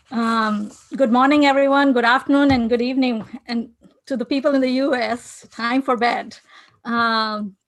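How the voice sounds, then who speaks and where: A woman from India with an Indian accent, giving an earnest conference talk over a video call, with frequent fillers and restarts. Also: a little high. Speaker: conference speaker. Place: home in New York City.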